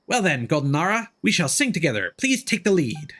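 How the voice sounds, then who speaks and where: A man's voice: a little high, sing-song, fairly quick, fairly bright tone. A man voicing small, earnest, childlike forest spirits as he reads game dialogue aloud in a singsong storybook way. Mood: eager, solemn.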